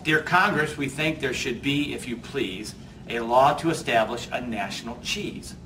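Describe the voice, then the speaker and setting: A man's voice: mid-range voice, moderate pace, bright, forceful tone, lightly acted. A man voicing polite young mouse pupils reading out their letter in a storybook, earnestly. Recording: distant mic, faint background noise.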